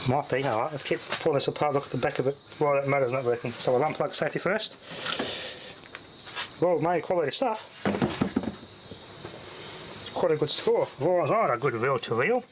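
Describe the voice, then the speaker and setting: A man's voice: fairly high pitch, moderate pace, pauses often, noisy background. A man giving casual, off-the-cuff repair commentary as a hobbyist tinkerer, muttering to himself in short fragments as he thinks aloud.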